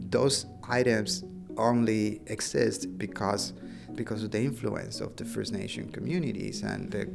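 A man from Cuba, a university professor, answering interview questions in a halting way and often repeating words as he thinks. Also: on the low side, vocal fry. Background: background music.

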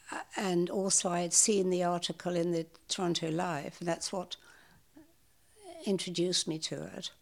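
An older woman hosting a home share, talking casually in an interview, halting and repeating words with many fillers. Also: lowish voice, a little mellow.

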